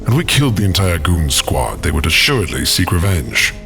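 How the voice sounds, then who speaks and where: Low, animated, dignified gentleman adviser acted by a man. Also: gravelly, full character voice. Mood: measured, assured. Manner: measured, formal, dryly patient. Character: wise, loyal, dignified older gentleman companion to heroes. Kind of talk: fantasy audio drama acting. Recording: voice over background music.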